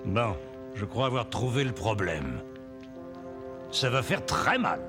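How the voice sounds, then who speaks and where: A man playing a calm, confident young adventurer in a French cartoon dub, speaking reassuringly. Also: on the low side, takes it slowly. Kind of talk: French animated film dub. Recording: faint music under the voice.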